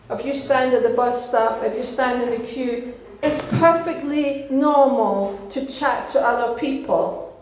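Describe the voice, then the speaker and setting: High-pitched woman from denmark giving an earnest sermon, short punchy phrases, faint noise behind. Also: a little mellow. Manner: earnest, encouraging, speaks in short emphatic phrases. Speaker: pastor. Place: church hall. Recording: very echoey church hall.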